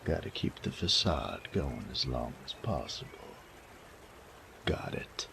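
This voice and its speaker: Man playing a very deep, gravelly bandit. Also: sing-song, bright, forceful tone, a little hiss or hum, heavily acted. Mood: commanding, seductive. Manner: slow, taunting drawl with folksy, profane phrasing. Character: sadistic male bandit, cocky, teasing, menacing rogue. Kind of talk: intimate roleplay audio drama.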